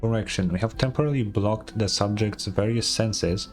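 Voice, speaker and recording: A man's voice: on the low side, moderate pace, faint music behind. A man doing casual, sweary commentary as he streams a game, sometimes reading its text aloud. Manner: sweary, offhand.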